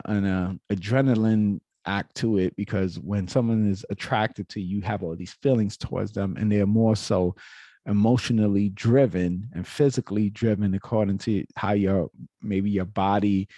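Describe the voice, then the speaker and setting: A man's voice: fairly low pitch, dark tone, no room sound. A man hosting a reaction video, giving his opinions in a lecturing way with frequent restarts and self-corrections. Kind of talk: casual opinionated commentary.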